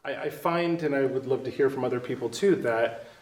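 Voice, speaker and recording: Loud man asking from the room. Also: medium pitch, brisk. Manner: loud, friendly, precise. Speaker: audience member asking a question. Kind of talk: panel Q&A. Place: studio room with an audience. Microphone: no mic, picked up from the room. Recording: roomy audience voice from far off.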